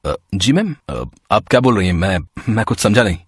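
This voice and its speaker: A man's voice: lowish voice, very animated, a little fast, husky, no room sound, clean studio sound. An actor playing a polite, humble young man in a story, with a deferential, hesitant manner. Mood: nervous. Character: polite, humble, hesitant young male employee and dutiful son.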